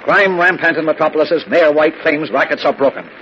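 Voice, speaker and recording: An actor playing a gruff, worldly male newspaper chief and mayor with brusque authority, in 1940s radio style. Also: on the high side, fairly quick, natural voice. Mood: resigned. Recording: muffled, noisy old radio recording.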